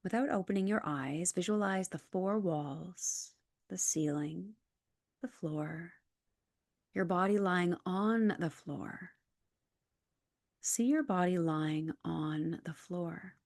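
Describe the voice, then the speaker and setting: Female yoga nidra guide, lower register, calm and soothing, scripted instructions, very dry close sound. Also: takes it slowly, dry recording.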